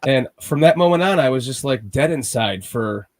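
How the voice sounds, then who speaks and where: A man hosting a casual sports podcast. He has a cold and sounds slightly raspy, and he rambles with frequent restarts, like a nervous fan. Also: mid-range voice, expressive, moderate pace. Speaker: podcast host.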